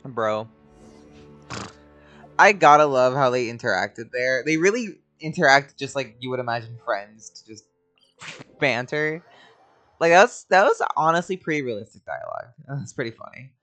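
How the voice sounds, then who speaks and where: Female let's play host: very deep for a woman, informal and slangy, faint game music beneath. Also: lively intonation, normal speed, pauses often, a bit bright, dry recording. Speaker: game streamer. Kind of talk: casual let's play reaction commentary.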